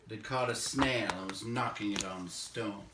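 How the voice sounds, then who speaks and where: A man's voice: on the low side, normal speed, heavy background noise. Man reading a storybook in an echoey room. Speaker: audiobook reader. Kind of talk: storybook narration, read aloud. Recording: roomy echo, distant microphone.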